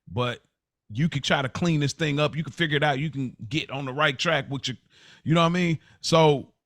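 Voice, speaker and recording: A man's voice: a little high, brisk, a bit bright, dry recording. An American man co-hosting a podcast in casual, slangy conversation. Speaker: podcast co-host.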